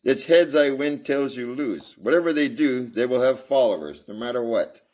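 A man reading aloud and voicing a brisk, sharp-witted male detective, raising his voice for the part. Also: medium pitch, animated, pitched above the performer's own voice, natural voice, rough recording. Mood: amused.

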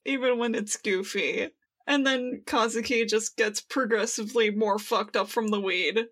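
A woman with a bright, forceful voice that sits a bit high. She chats casually as a podcast co-host, telling things in slangy words and slipping into quoted lines. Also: unhurried. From United States. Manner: animated retelling, acts out quoted lines, slangy. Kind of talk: casual chat.

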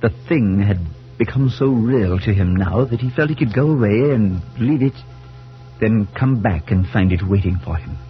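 An actor playing a skeptical, concerned priest who narrates an eerie 1940s radio play in a measured, formal, theatrical way. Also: male voice, lowish voice, expressive, natural voice. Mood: grave narration. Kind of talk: 1940s radio drama acting. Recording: dull 1940s radio broadcast, music behind.

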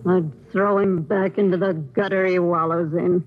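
A woman's voice: lowish voice, steady tone, a little slow. Bitter, hard old mother. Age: older. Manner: curt, hard, plain-spoken. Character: bitter, hard old working-class mother, weary and proud. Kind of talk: 1950s radio crime drama acting. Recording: noisy, muffled vintage radio recording.

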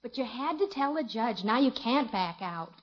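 A young woman playing a sensible, gently nagging niece with an American accent, earnest and reproving, in 1950s radio comedy style. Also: fairly high pitch, lively intonation, normal speed, close to an everyday voice. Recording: muffled vintage 1950s radio recording.

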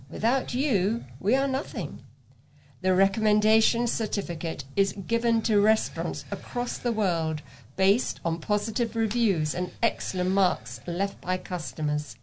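A woman's voice: medium pitch, a little hiss or hum. A woman reading scripted local news items as a volunteer newsreader.

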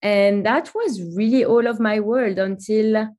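A woman's voice: medium pitch, unhurried, darkish tone. Woman, 30s, chatty storyteller with fillers, for an interview guest. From Belgium. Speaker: guest, company CEO. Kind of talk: remote interview chat.

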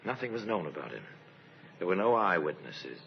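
A man narrating a 1950s radio crime drama in a measured, dramatic, portentous manner. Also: low-pitched, big pitch swings, creaky, very noisy, full character voice. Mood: grave, suspenseful. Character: grave male narrator of a crime case. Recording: muffled old radio recording, music behind.

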